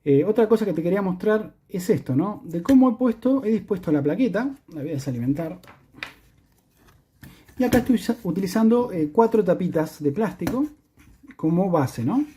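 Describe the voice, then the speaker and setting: A man's voice: sits high, expressive, normal speed, dark tone, slight background noise. A man with an Argentine Spanish accent presenting a hands-on electronics tutorial, explaining step by step and often checking in with the listener.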